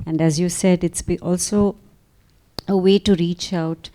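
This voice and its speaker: A woman interviewing an author, asking long questions with many pauses and fillers. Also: lowish voice, steady tone, mellow. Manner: hesitant, searching questions with fillers. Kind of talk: conversational interview.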